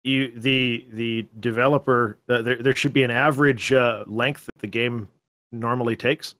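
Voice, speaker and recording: A man hosting a casual discussion, measured and a little hesitant, thinking aloud as he talks. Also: mid-range voice, steady tone, a bit bright, no echo. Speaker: channel host.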